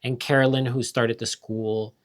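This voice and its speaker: A man from Finland in his thirties, hosting a family vlog in relaxed, casual chat with fillers. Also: mid-range voice, normal speed. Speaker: vlog host.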